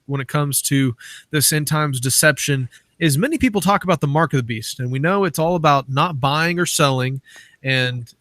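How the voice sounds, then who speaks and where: Bright-voiced male interviewer, fairly high. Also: no echo. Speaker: interviewer, podcast host. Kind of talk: casual conversational interview.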